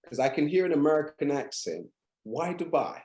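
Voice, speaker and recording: A woman's voice: deep voice, animated, normal speed, mellow, echoey room. A woman hosting an interview, asking questions in a conversational way. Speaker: interviewer, host.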